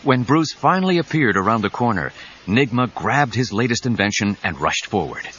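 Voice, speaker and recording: An actor narrating a superhero audio drama as a suspenseful storyteller. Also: male voice, medium pitch, lively intonation, normal speed, fairly bright tone, breathy edge. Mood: dramatic, suspenseful. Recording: dull 1990s cassette, music under voice.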